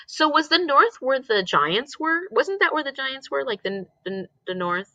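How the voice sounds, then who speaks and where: A woman with a bright, forward voice chats casually as she hosts a book discussion. She thinks aloud and often turns her thoughts into questions. The sound is dry and a little muffled. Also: high-pitched, big pitch swings, normal speed, no room sound. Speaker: teacher.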